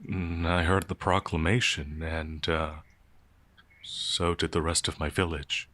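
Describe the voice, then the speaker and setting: Timid werewolf outlaw, male performer: low register, unhurried, flustered and hesitant, soft-natured, fantasy audio roleplay. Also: flat delivery, full character voice. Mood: anxious, apologetic. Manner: hesitant, stammering, apologetic, flustered. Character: gentle, timid, anxious male werewolf outlaw, easily frightened.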